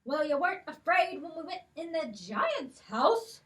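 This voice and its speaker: A woman's voice: high voice, big pitch swings, a little slow, fairly bright tone, far from the mic, heavily acted. A woman voicing Molly, a brave, clever poor young girl with a plucky, cheeky way of talking in a children's puppet show. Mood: scolding.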